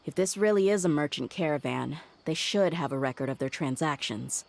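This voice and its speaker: A woman voicing a tough young female mercenary in a game cutscene, steady and loyal, thoughtfully reasoning things out aloud. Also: low voice, fairly quick, vocal fry, a little hiss or hum.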